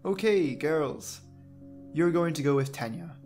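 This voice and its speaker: A man's voice: a little high, unhurried, a little mellow, close to an everyday voice. A man playing an agitated, bitter adult son in an audio drama, speaking emphatically. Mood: firm, reassuring. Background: background music.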